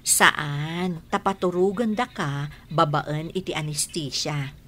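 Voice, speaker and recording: A woman playing a gentle, reassuring mother in an Ilocano radio drama, soothing and encouraging. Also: deep voice, slow pace, full character voice.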